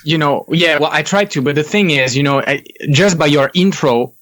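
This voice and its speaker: Excitable French guy, slightly high voice. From France. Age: young adult. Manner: excitable, quick, laughs often. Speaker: podcast guest. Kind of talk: casual chat.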